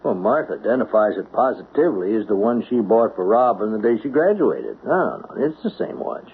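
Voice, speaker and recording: A man's voice: fairly low pitch, sing-song, a little fast. Old radio drama: genial country sheriff, a man with folksy easy manner, narrow hissy sound. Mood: confiding. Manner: easygoing, colloquial, hemming. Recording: noisy, tinny old radio recording.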